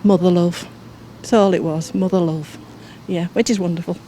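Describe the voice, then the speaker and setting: A woman's voice: fairly low pitch, steady tone, moderate pace, mellow, a little hiss or hum, low-quality recording. An older woman with an English accent telling her story conversationally in an interview. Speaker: interviewee, local researcher.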